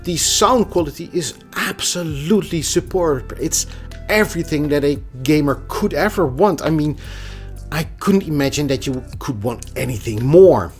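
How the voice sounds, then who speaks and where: Animated man reviewing gear over music. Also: a little high, moderate pace. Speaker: product reviewer. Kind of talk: casual tech review.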